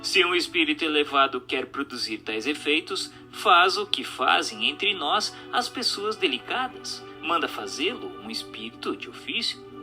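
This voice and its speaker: A man with a Brazilian accent giving a dramatized reading of a spiritual text in a formal, didactic, clearly enunciated manner. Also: fairly high pitch, big pitch swings, normal speed, over music. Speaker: narrator.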